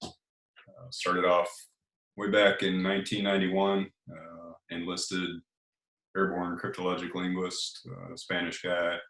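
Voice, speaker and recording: A man in his forties, an Air Force officer and author, chatting casually as an interview guest in a deep monotone voice, relaxed and full of hesitations. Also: a little slow, echoey room, poor audio. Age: 40s. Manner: relaxed and hesitant, many uh fillers.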